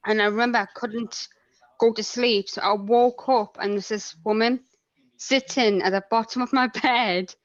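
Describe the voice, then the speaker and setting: A woman's voice: a little high, fairly even intonation, moderate pace, dry recording, highs cut off. A woman telling personal stories casually as a podcast guest.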